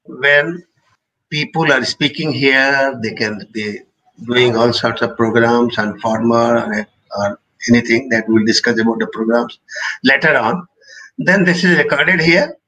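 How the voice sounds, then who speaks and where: Slightly husky Indian-accented man lecturing. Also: medium pitch, measured pace, bright, forceful tone, rough recording. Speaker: radio engineering expert giving a presentation. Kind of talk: explanatory lecture with personal recollection.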